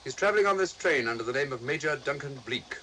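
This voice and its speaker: A fast, sharp-toned English gentleman detective. He is composed and precise, with animated pitch, in vintage mystery style on a hissy old soundtrack. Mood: probing. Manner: crisp, precise, self-assured. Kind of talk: 1940s film mystery acting.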